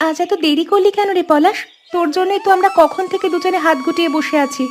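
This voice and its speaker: A woman voicing a bossy but affectionate village housewife, brisk and sisterly, in an animated story. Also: very high voice. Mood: brisk, scolding.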